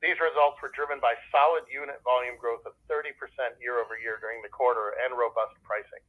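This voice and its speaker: A man's voice: medium pitch, fairly even intonation, brisk, pushed and bright, low-quality recording. A man speaking as a corporate finance executive, reading prepared earnings remarks in a formal, businesslike way over a telephone conference line. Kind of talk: scripted earnings call presentation. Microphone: telephone conference call.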